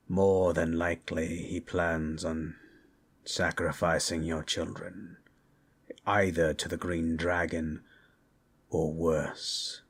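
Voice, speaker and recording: A grave old sage from a fantasy audio drama, played by a man. The voice is deep, husky and slow, with formal, deliberate phrasing and a solemn, measured temper. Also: fairly bright tone, heavily acted. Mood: grave warning.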